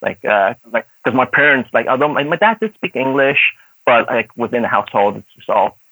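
A man's voice: mid-range voice, lively intonation, a little fast, bright, forceful tone, husky, dry recording, low-quality recording. A man in his thirties with a light, mixed Irish accent, whose first language was Cantonese. He is a podcast guest who rambles through his answers with many fillers and laughs often. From Dublin, Ireland. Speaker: guest, actor and martial artist. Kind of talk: casual podcast interview.

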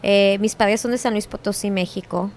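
A woman, an event organizer guesting on a podcast, confident, energetic and outspoken. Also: medium pitch, normal speed. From Houston, Texas, partly raised in Mexico. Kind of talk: casual interview talk.

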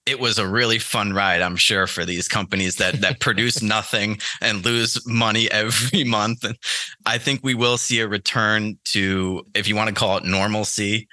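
A man's voice: on the low side, steady tone, moderate pace, sharp tone, no echo. An American man answering as a podcast guest in a conversational, loosely hedged way. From United States. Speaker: podcast guest, trading educator.

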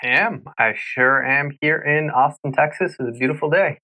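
A man's voice: fairly high pitch, moderate pace, sharp tone, low-quality recording. A man from New York talking in a loose, casual way, with lots of fillers, as he opens his own podcast and then answers questions as a guest. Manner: loose, chatty, many fillers. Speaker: podcast host, here a guest. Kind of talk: casual conversational intro and interview.

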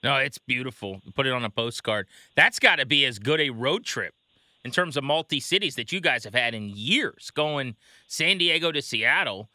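A man's voice: medium pitch, lively intonation, moderate pace, sharp tone, no echo, studio quality. An American man hosting a sports podcast, talking fluently and emphatically as he comments and interviews.

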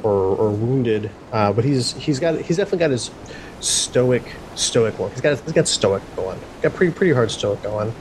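Male gamer, mid-range voice, stop-start casual description that circles back on itself, slight background rumble. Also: darkish tone, low-quality recording. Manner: halting, repeats phrases as he improvises. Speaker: tabletop game player. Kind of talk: casual improvised character description. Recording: faint rumble behind voice.

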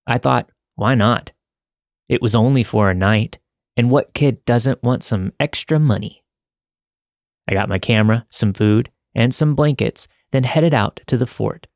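Low-voiced man narrating, very dry sound. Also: a little mellow, no room sound. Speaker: podcast host and narrator. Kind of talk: scripted first-person horror story narration.

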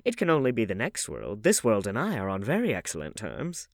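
A man voicing a witty, cynical aristocratic gentleman in an urbane, unhurried manner for an audiobook. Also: fairly low pitch, wildly swooping pitch, no room sound, studio quality. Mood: mock serious. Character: witty, languid male aristocrat, cynical dinner guest.

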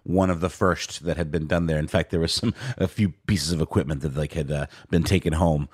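A man's voice: a bass voice, fairly even intonation, a little fast, a little mellow, husky, no room sound, clean studio sound. A man co-hosting a podcast in casual chat, his voice sleepy, rambling with fillers and restarts. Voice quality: sleepy-sounding voice. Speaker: podcast co-host.

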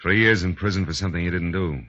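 Very deep-voiced, hard-boiled radio detective. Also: male voice, quick pace, bright, forceful tone, no room sound. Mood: wry, probing. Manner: wry, familiar, hard-boiled. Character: wry, world-weary male private detective. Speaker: lead actor and narrator. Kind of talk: 1940s radio noir acting. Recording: dull 1940s radio recording.

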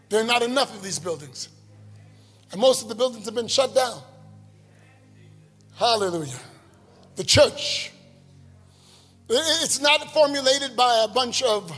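Brief: male preacher, very high and bright, husky edge, shouting cadence, church reverb. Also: animated, measured pace, long pauses. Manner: rhythmic call-and-response preaching, rising to shouts. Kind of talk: sermon. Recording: long hall reverb, faint music.

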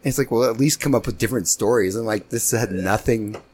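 A man's voice: lowish voice, fast talker, a little mellow. A man co-hosting a podcast who tells anecdotes in a dry, understated way, recorded in a home studio. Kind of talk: casual anecdote.

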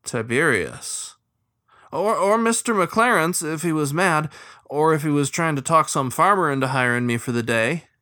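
A man voicing a shy, timid young male ferret who answers in a hesitant, halting way. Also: a little high, animated, bright, forceful tone, voice pushed up.

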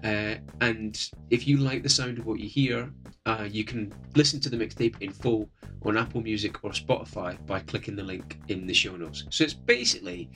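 A man with a soothing voice, talking in a relaxed, friendly way as a podcast guest. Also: lowish voice, moderate pace, faint music behind. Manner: relaxed, friendly, gently humorous. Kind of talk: casual podcast chat.

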